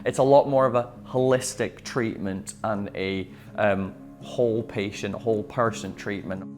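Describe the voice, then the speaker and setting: A man who grew up in Malawi, talking about his work with relaxed, explanatory speech and some fillers. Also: on the low side, measured pace, over music.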